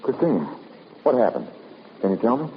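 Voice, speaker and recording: An actor playing a reassuring, probing private investigator who takes charge, in 1950s radio drama style. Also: male voice, medium pitch, sing-song, moderate pace. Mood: concerned. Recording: muffled, noisy vintage radio recording.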